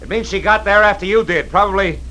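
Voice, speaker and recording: An actor playing a tough, steady private detective in 1940s radio style, clipped and commanding, narrating and talking in character. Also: male voice, high voice, fast, slight background noise. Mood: persuading. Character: tough, steady male private detective, hardboiled but decent. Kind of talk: 1940s radio acting with first-person narration. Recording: old radio drama recording with music.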